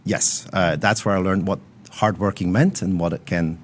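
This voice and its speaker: A middle-aged man with a Belgian accent, a company CEO explaining things in a measured, casual interview. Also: deep voice, steady tone, a little hiss or hum. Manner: measured, explanatory, frequent fillers. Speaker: tech CEO guest.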